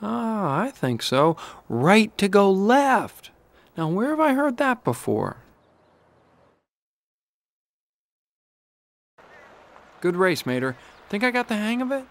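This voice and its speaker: An actor voicing a cocky, easygoing young male race car in an animated game, with a breezy, wisecracking manner. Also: sits high, a little slow, full character voice. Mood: upbeat. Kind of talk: animated game cutscene acting.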